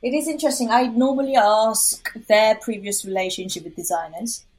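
A woman from Korea with a Korean accent, whose first language is Korean, answering interview questions as a freelance designer, halting with many fillers and restarts. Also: fairly high pitch, a little mellow, breathy edge, poor audio.

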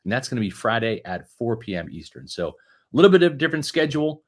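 A man's voice: mid-range voice, sing-song, fast talker. A man of fifty co-hosting a sports podcast, chatting casually and rambling with many restarts. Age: 50s. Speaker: podcast co-host.

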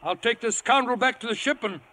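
A male actor playing a stern, blustery gentleman squire in formal 1950s radio drama style. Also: very high-pitched, a little fast.

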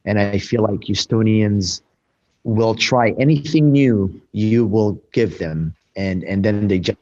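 A man who owns a restaurant answers interview questions, halting with many fillers and restarts. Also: fairly low pitch, a little mellow. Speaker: restaurant owner. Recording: dull lo-fi sound.

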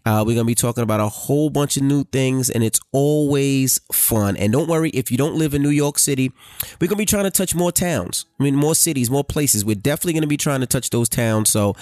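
A man's voice: medium pitch, brisk, a little mellow, no echo, studio quality. A man co-hosting a podcast with his wife, talking in a loose, repetitive way, full of fillers. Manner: loose and repetitive, many "right" and "I mean" fillers. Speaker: podcast co-host. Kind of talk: casual chat.

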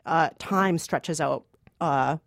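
A woman with a slightly low voice talks through films as a podcast co-host. She is conversational and enthusiastic, stressing her opinions firmly. The sound is very dry, as from a close studio microphone. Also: slow pace, creaky, no room sound. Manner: emphatic, enthusiastic. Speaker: podcast co-host and film critic. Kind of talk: conversational film review.

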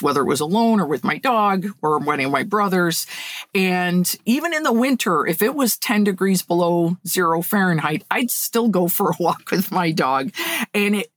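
A woman's voice: fairly low pitch, dry recording, clean studio sound. A middle-aged woman from upstate New York, a podcast guest telling her story in a reflective, conversational way. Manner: reflective, warm storyteller with light laughs.

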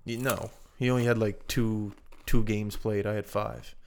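A man's voice: fairly low pitch, flat delivery, moderate pace, a little mellow, slight background noise. Rambling upbeat man. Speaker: podcast co-host. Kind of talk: casual chat.

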